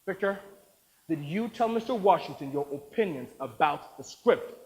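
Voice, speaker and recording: A man's voice: fairly high pitch, expressive, in a large hall, slight background noise, close to an everyday voice, low-quality recording. A man playing an anxious, pleading agent. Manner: pleading, rushed.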